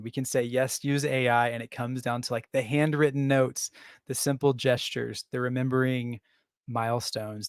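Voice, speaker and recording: A man's voice: mid-range voice, gravelly, no echo, clean studio sound. A man moderating a nonprofit panel, enthusiastic and affirming toward the speakers. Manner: enthusiastic, affirming, laughs often.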